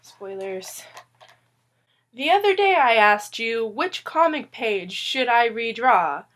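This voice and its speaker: A woman's voice: a little high, very animated, slow, a bit bright. A woman under the weather walks viewers through how she makes her comics. She is a bit loopy and rambles with self-mocking jokes. Her talk stays casual and friendly while she is fighting off a cough. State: sick with a cough. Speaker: webcomic artist sharing tips. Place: indoors at a wooden table.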